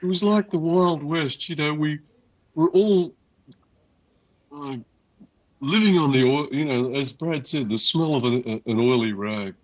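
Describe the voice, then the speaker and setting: A man's voice: a little high, measured pace, pauses often, no echo, dull audio. An older man telling stories as an interview guest, reminiscing in a casual conversational way. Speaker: interview guest, loudspeaker designer.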